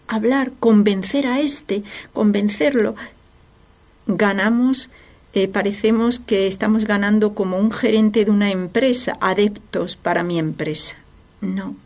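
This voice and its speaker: Slow earnest woman reflecting devotionally. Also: mid-range voice, darkish tone. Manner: earnest, emphatic, rhetorical questions. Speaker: religious radio speaker.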